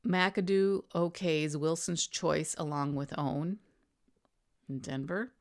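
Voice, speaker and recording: A woman's voice: sits low, slow pace. A woman narrator reading old newspaper headlines in a matter-of-fact way, adding informal summaries as she goes.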